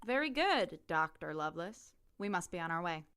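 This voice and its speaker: A woman playing a terse, dutiful female lab assistant who talks in a clipped, deadpan, formal way. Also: fairly low pitch, normal speed, heavy background noise. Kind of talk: audio drama acting.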